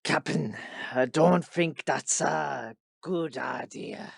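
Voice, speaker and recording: An actor playing a sceptical, reluctant pirate sidekick in an audio play, with a gravelly voice and dry, grudging manner. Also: male voice, fairly high pitch, slow, dry recording, exaggerated voice. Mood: worried.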